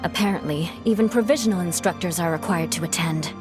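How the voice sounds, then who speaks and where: An actress voicing a composed adult female instructor in a game, calm and matter-of-fact. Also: lowish voice, fast talker, lightly acted. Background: game music.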